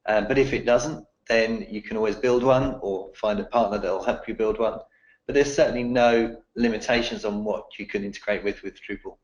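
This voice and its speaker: A man with a croaky voice answering technical questions in a webinar, explaining in a steady way. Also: fairly low pitch, fairly quick, reverberant, lo-fi sound.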